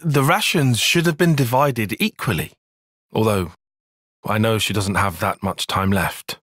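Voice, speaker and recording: A man playing a young, analytical soldier tactician in a video game, speaking in precise, formal, measured phrases. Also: on the low side, fairly bright tone, no echo, clean studio sound. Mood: analytical, composed. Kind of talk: video game cutscene voice acting.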